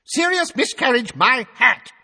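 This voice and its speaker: A man's voice: very animated, moderate pace, husky, full character voice. Hot-tempered middle-aged bureaucrat read by a man, sputtering, swings up and down, echoing reverb. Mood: shouting. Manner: shouting, sputtering, high and strained. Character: blustering, pompous middle-aged male minister, easily enraged. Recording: long hall reverb.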